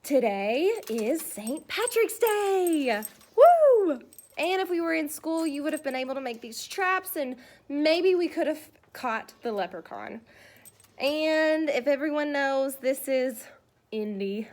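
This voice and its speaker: A woman's voice: high-pitched, sing-song, takes it slowly, darkish tone. A woman schoolteacher chatting to young pupils in a child-directed way, asking questions during a read-aloud.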